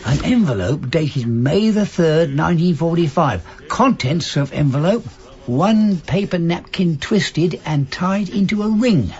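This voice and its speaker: Lively old Cockney husband. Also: male voice, fairly high pitch, unhurried, highs cut off. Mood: chirpy, reminiscing. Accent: Cockney London. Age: elderly. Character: devoted, protective, cheeky old Cockney husband. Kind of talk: naturalistic radio drama acting.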